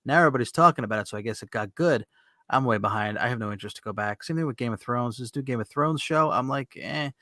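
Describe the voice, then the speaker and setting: A man's voice: mid-range voice, quick pace, sharp tone, dry recording, clean studio sound. A man co-hosting a podcast in casual chat, talking in an informal, conversational way. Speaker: podcast co-host.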